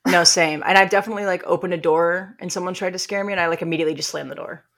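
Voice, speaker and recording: A woman podcast co-host with a lowish voice and strong vocal fry. She talks casually, telling things in loose, informal bursts and voicing reactions as she goes. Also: steady tone, racing, fairly bright tone. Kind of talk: casual chat.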